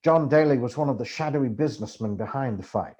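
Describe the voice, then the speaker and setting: A man reading a book aloud as expressive, theatrical first-person narration. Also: mid-range voice, fast, dull audio. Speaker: amateur audiobook reader.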